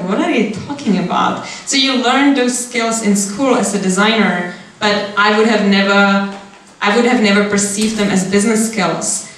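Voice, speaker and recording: Young woman speaking in an echoey hall. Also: medium pitch. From Austria. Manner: candid, thinks aloud with restarts. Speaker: guest speaker. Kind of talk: Q&A after a talk. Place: large seminar room. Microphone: handheld mic, far camera. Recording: echoey room, distant mic.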